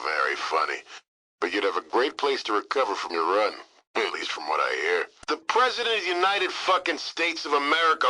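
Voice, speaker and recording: An actor playing an irritable, foul-mouthed military communications operator in a video game, snide and wisecracking. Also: male voice, medium pitch, sharp tone, exaggerated voice, low-quality recording. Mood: snide, wry.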